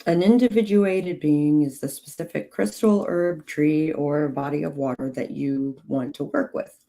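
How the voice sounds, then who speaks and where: A woman hosting a livestream, reading witchcraft guidance aloud in a measured way, her voice slightly hoarse. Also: sits low, warm tone, low-quality recording. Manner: slow, measured reading with clipped, dry asides to chat. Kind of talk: reading aloud with chat asides.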